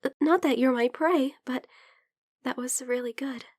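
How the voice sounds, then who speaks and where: A woman playing a shy, lovestruck young female vampire in an intimate ASMR roleplay, speaking softly and hesitantly, often slipping into whispers. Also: high-pitched, normal speed, no echo, clean studio sound. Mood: dazed, blissful. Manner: hesitant, soft, breathy murmuring that slips into whispers.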